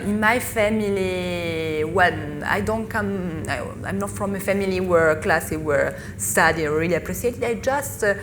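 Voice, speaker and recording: A woman in her thirties from Italy, with an Italian accent and Italian as her first language, speaking haltingly as a panelist at a live discussion. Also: fairly low pitch, a bit bright. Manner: halting, searching for English words. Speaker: panelist, author. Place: festival venue. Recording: live panel recording with noise.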